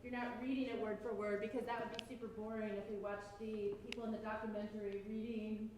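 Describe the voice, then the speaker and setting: A woman's voice: mid-range voice, flat delivery, fast talker, a little mellow. A woman moderating a live talk, explaining in a teacherly way for those listening. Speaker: moderator and college instructor. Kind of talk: moderating a live talk, restating for remote viewers. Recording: very echoey room, faint background noise.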